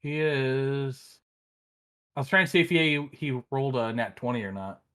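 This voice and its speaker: A man's voice: mid-range voice, expressive, moderate pace, bright, forceful tone, clear, resonant tone. A man speaking casually as a podcast co-host, chatting informally with the others. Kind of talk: casual chat.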